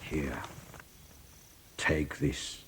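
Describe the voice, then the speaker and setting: An actor playing a wise, protective old Okinawan karate master in an audio drama, with a Japanese accent and terse broken English. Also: male voice, very deep voice, very slow, vocal fry, a bit bright, exaggerated voice, low-quality recording. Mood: calm, resolute.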